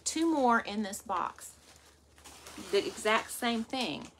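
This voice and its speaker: A woman talks to her viewers in a bright, sharp, fairly high voice, calling them "y'all." She speaks from a workroom, picked up by a camera above her, with faint noise behind. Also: animated, slow pace. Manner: chatty, addresses viewers as "y'all". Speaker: vlog host. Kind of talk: casual haul unboxing. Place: indoor workroom with shelves.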